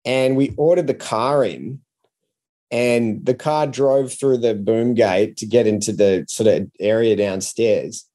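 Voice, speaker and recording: A man's voice: lowish voice, slow, mellow. An Australian man with an Australian accent, a podcast guest and comedian telling anecdotes in a casual, conversational style.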